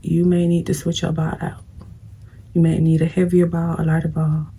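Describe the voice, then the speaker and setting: Low gravelly woman giving blunt advice. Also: monotone, moderate pace, dark tone. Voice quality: scratchy. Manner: blunt, preachy, talks straight to viewers. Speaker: vlogger. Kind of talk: casual get-ready-with-me advice chat.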